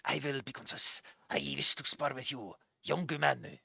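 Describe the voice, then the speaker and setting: A man voicing a mysterious old dojo master in a video game, with a mock Japanese accent and halting, formal, polite speech. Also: medium pitch, vocal fry, pushed and bright, husky, pitched below the performer's own voice, exaggerated voice. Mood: solemn challenge.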